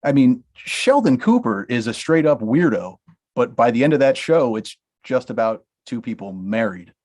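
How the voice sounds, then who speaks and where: A man's voice: mid-range voice, sing-song, normal speed, a little mellow. A man reading the part of a blunt, money-minded talent manager in a comedy table read. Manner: brusque, dismissive, laughs through lines.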